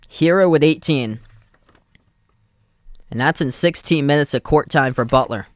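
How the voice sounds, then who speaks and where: A man's voice: a little high, clear, resonant tone, no echo, clean studio sound. Boston-accented man calling a game. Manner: steady running commentary in short clipped phrases. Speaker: live play-by-play streamer. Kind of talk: amateur play-by-play commentary.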